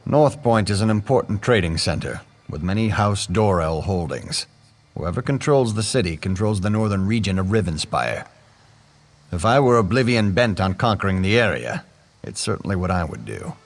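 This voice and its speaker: A man's voice: low-pitched, big pitch swings, moderate pace, heavily acted. An actor playing a hot-tempered male nobleman who gives blunt, commanding orders.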